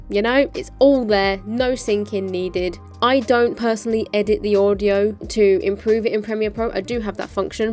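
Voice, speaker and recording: A woman's voice: medium pitch, darkish tone. A woman with a British accent giving a chatty, informal, practical explainer as a motorcycle vlogger. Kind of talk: casual explainer of a gear setup.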